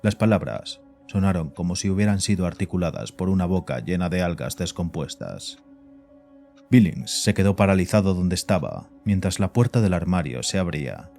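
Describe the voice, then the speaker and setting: A man's voice: sits low, lively intonation, normal speed, creaky, a little mellow. A man narrating a scripted horror story as the narrator of an audio drama. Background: faint music.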